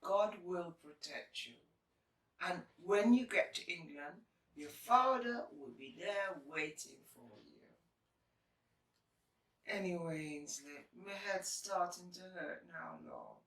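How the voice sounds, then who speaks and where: A woman's voice: on the low side, sing-song, slow, fairly bright tone, exaggerated voice. A woman playing a loving but firm elderly Caribbean grandmother in a drama, solemn and tender. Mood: sad, tender. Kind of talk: stage-style audio drama. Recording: echoey room, distant microphone.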